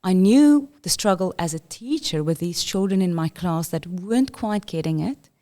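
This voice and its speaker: Middle-aged South African woman, podcast guest, lower pitch, relaxed warm tone, chatty and fast. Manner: quick, animated storytelling with self-corrections. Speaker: podcast guest, inclusion leader. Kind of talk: conversational interview.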